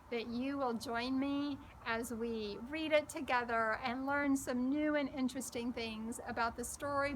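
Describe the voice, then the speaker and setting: A woman's voice: on the high side, a little hiss or hum, rough recording. A woman hosting a children's story time, reading aloud expressively and speaking directly to young listeners. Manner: slow, expressive, addresses child listeners with questions. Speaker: storybook reader and host.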